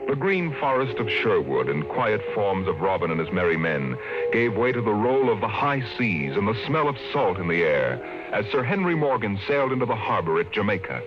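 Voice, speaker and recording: Low-voiced guy narrating an old radio play, lots of pitch swing, dull muffled sound with music under it. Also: big pitch swings. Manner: measured, slowing for dramatic effect.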